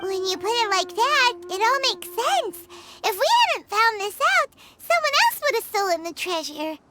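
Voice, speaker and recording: Squeaky, very high little companion. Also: female voice, big pitch swings, normal speed, over loud music. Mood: curious. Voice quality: squeaky, childlike. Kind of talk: video game character acting.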